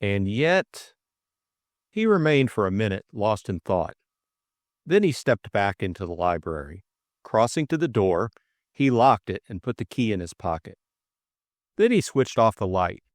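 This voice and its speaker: A man's voice: fairly low pitch, big pitch swings, a little slow, pauses often, dry recording, studio quality. A middle-aged man reading a classic novel and discussing it as a co-host. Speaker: audiobook reader and co-host. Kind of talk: storybook narration and casual book discussion.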